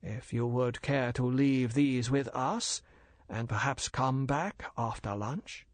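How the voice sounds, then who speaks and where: A man acts out an older Chinese merchant in an audiobook story. He is bland, suave and endlessly courteous, choosing his formal words slowly and deliberately. The sound is dry and intimate. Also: mid-range voice, no room sound, close to an everyday voice. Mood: smoothly reassuring. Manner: measured, deliberate, formal, politely evasive.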